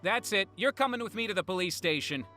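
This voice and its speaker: An actor voicing a stern, stubborn, officious police officer in a video game. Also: male voice, high-pitched, animated, fast, bright, forceful tone, soft background music. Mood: accusing. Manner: accusing, officious.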